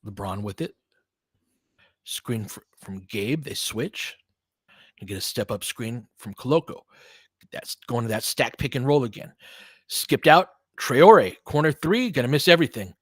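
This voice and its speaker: A man's voice: medium pitch, lively intonation, unhurried, breathy edge, no echo, clean studio sound. A man doing casual live basketball play-by-play in clipped phrases, with conversational analytical asides. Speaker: play-by-play commentator and host.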